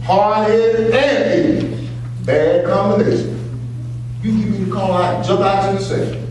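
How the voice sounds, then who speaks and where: A man's voice: sits high, steady tone, moderate pace, close to an everyday voice. A man playing a folksy, casually callous Southern hired enforcer in a staged play reading. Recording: echoey hall, distant mic, faint noise.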